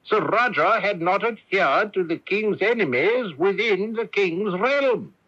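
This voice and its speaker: A fussy ambitious barrister, high n animated, theatrical, old muffled radio drama. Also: male voice, a little slow, exaggerated voice. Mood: pedantic, pleased. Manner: pedantic, hedging, theatrical. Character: fussy, ambitious, evasive barrister explaining law. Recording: dull 1980s AM radio recording.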